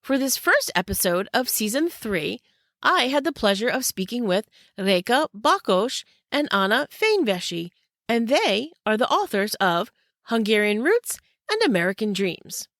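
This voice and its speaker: A woman's voice: on the high side, big pitch swings, unhurried, a bit bright, dry recording, studio quality. A woman hosting a podcast, greeting listeners and introducing her guests in an upbeat, welcoming way. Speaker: podcast host. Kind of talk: scripted podcast intro and interview.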